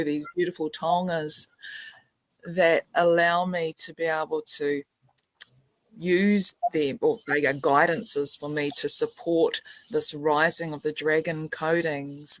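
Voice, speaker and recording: An older woman from New Zealand giving a soft, meandering spiritual talk on a video call, laughing often. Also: low voice, dry recording, rough recording. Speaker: spiritual grandmother representative.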